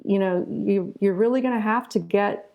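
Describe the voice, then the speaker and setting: Interview guest: American woman, forties, gravelly fry in the voice, informal and candid storyteller. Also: mid-range voice, normal speed, muffled recording. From southern Ohio, United States. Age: 40s. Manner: informal, candid, often adds "you know". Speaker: interview guest, retired physician turned author. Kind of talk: conversational personal storytelling.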